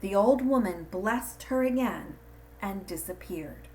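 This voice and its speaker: A woman reading a scripted children's storybook in an expressive, deliberate storytelling manner. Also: medium pitch, a little hiss or hum, poor audio. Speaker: storybook reader.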